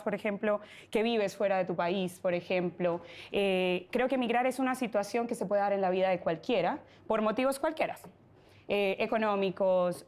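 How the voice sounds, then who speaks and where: A woman's voice: a little high, darkish tone. A woman from Colombia with a Colombian accent, a debate guest who argues earnestly in long, flowing points. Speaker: pro-migrant activist guest. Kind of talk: debate argument.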